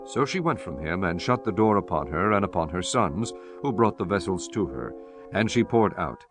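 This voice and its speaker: A man's voice: low voice, animated, normal speed, over music. A man reading solemn, scripted scripture narration for a dramatized audiobook.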